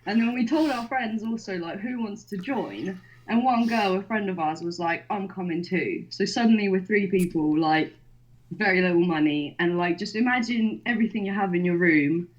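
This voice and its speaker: A young woman raised in the UK, telling her story as a podcast guest in a casual, excited way with lots of "like". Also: fairly low pitch, normal speed. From Poland, raised in the UK. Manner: excited, chatty, fillers of "like". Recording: dull lo-fi sound.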